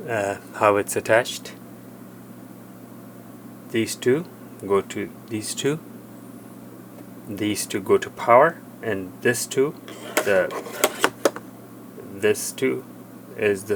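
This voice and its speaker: Male tutorial presenter, lower register, halting and plain-spoken hands-on walkthrough, faint background noise. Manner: halting, plain step-by-step explaining. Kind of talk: hands-on product demonstration, unscripted.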